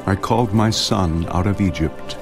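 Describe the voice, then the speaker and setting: A man's voice: low voice, normal speed, creaky. A man voicing a grave, commanding angel in a dramatized audio Bible. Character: solemn, commanding angel of the Lord. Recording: long reverb, prominent music behind.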